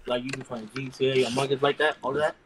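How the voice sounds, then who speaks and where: A man's voice: medium pitch, steady tone, fast talker, a bit bright. An eighteen-year-old man from Los Angeles on a video call, slangy and rambling with lots of fillers. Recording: noisy call line.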